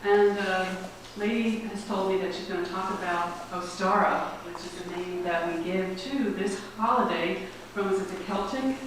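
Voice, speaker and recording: Woman host reading an introduction, lowish pitch, distant mic, audible hiss. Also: a little slow, few pauses. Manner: reads a biography with hesitations and fillers. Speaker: society director introducing the speaker. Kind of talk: formal introduction. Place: meeting room before an audience. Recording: echoey room, mic far off, background hiss.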